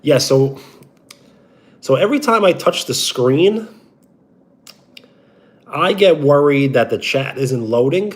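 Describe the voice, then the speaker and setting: A young man with a Brooklyn accent, live streaming and chatting casually with his viewers. He greets them with a loud, drawn-out "yo" and talks like a sports radio personality. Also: on the high side, lively intonation. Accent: Brooklyn, New York. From New York.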